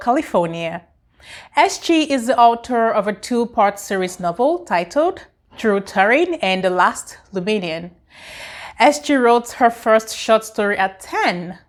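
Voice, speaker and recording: A woman co-host reading a scripted, formal guest introduction and questions. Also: mid-range voice. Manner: read-out, formal.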